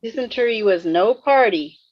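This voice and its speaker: A woman playing a dry, deliberate ghost in an amateur table read over a dull video call. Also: on the high side, lively intonation.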